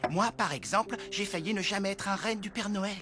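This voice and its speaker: A woman voicing a kindly talking reindeer, a gentle encouraging mentor in a children's cartoon. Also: mid-range voice, fairly quick, natural voice. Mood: reassuring. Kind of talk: French animated cartoon dubbing. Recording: loud music under the voice.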